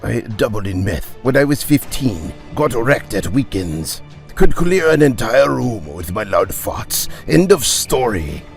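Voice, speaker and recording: A man's voice: mid-range voice, very animated, unhurried, gravelly, soft background music. A man voicing a hulking, rude spirit knight with an Eastern European accent and a blunt, crude manner. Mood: brash, blunt.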